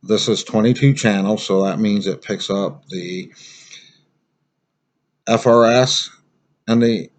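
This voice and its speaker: A man's voice: lowish voice, steady tone, slow, long pauses. An American man giving a casual, unscripted gear review as a survival instructor, plain-spoken and rambling.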